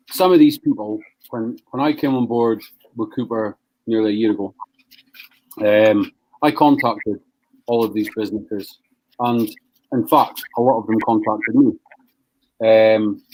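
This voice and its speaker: A man's voice: medium pitch, a little mellow, rough recording. Blunt swearing man ranting live. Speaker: live show host, business owner. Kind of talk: profane ranting on a live stream.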